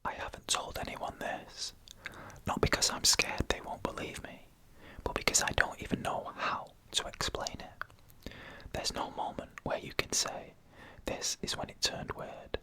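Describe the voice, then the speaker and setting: A narrator telling a scary story in a breathy ASMR whisper, in slow, hushed, deliberate phrases. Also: rough recording.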